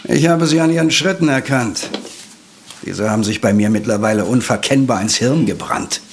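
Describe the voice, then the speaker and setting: An actor playing a grumpy, resentful police inspector in a German audio drama, gruff, curt and irritated. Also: male voice, medium pitch, moderate pace, a little hiss or hum, close to an everyday voice.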